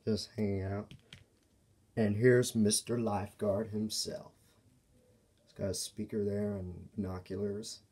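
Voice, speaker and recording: A man giving a casual, unscripted show-and-tell as a toy reviewer, speaking in halting, broken phrases. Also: lowish voice, little pitch movement, warm tone, husky. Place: indoors at a table by a window.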